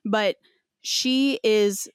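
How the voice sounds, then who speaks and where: Young adult female podcast host, medium pitch, dry recording, casual and enthusiastic, slangy. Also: extremely slow, clean tone, dry recording. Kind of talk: casual gaming chat.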